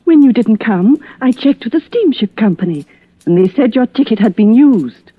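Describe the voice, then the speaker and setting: An actress playing a tender, anxious middle-aged wife, earnest and emotional in 1940s radio melodrama. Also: female voice, on the high side, animated, moderate pace, breathy edge, no room sound. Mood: emotional explaining. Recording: muffled, narrow old radio recording.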